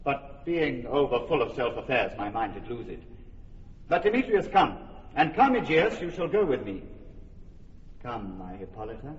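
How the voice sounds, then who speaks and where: An actor playing a gracious, commanding duke in an old radio drama. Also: male voice, medium pitch, very animated, normal speed, muffled recording, natural voice. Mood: stately. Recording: distant, echoey radio recording.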